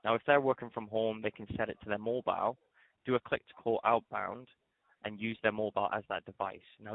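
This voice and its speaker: Guy doing a software training demo, talks fast, lowish voice, keeps checking in. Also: dry recording. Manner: explanatory, often ends points with a check-in "okay?" or "all right?". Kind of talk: screen-share software tutorial, conversational walkthrough. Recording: narrow phone-like line, fairly dry.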